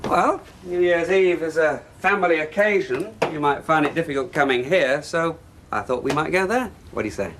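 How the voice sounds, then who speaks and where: A man's voice: on the high side, expressive, normal speed, lightly acted. Actor for a bitter middle-aged stepfather in a soap, with a raised, mobile voice and faint hiss behind it. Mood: weary. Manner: flares into shouting, then pleads. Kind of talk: TV soap drama acting.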